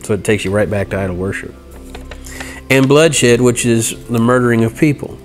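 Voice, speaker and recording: A middle-aged man teaching from scripture in an earnest, halting way, reading passages and explaining them. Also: medium pitch, faint music behind. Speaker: Bible teacher. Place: indoor room by a large window. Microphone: lapel mic.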